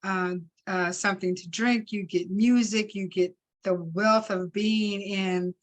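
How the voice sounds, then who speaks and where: A woman's voice: medium pitch, slow. Upbeat woman hosting on a video call. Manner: lively, upbeat, friendly. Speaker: talk show host. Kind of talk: casual chat. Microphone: video call mic.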